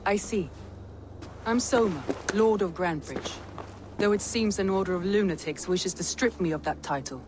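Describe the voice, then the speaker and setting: Woman playing a Viking war leader, proud, resolute, commanding, earnest, dramatic game acting, music clearly behind the voice. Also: medium pitch, moderate pace, natural voice. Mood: proud, frank.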